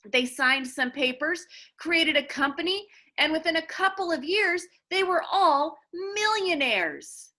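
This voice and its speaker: A woman's voice: very high voice, lively intonation, measured pace, few pauses, pushed and bright, voice pushed up, exaggerated voice. A woman voicing a nerdy, competitive schoolboy with a nasal voice, eager to outdo his friend in a storybook reading. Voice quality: nasal, talks through the nose. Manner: eager to one-up.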